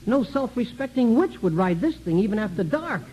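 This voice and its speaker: A man's voice: sits high, very animated, moderate pace, highs cut off, heavy background noise. An actor playing a talkative, down-on-his-luck American janitor and father in early 1950s radio comedy-drama, rambling, stammering and self-deprecating. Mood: folksy, chatty.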